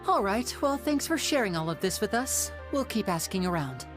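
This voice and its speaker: A man voicing a polite young magician in a video game, with a courteous, smooth-talking manner. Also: sits high, big pitch swings, normal speed. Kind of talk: video game character acting. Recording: music behind the voice.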